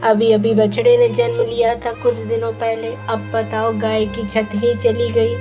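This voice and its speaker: A woman's voice: a little high, monotone, a little slow, warm tone, close to an everyday voice. A woman voicing a worried, devout village mother in a Hindi cartoon, pleading to God. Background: background music.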